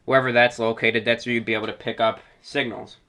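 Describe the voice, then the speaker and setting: A man's voice: medium pitch, level tone, fast, bright, forceful tone. A man hosting a hobby show, talking informally to his listeners and explaining things step by step in a didactic way. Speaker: host of a radio hobby show.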